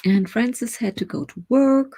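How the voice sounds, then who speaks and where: A woman's voice: a little high, moderate pace, mellow, no echo. A middle-aged German woman with a German accent, chatting casually and rambling as she hosts a writing livestream, often laughing.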